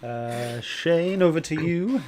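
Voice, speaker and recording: A man's voice: on the high side, lively intonation, unhurried, a little mellow. A man hosting a casual, chatty book club discussion, speaking informally and moving things along. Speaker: podcast host. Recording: background noise behind the voice.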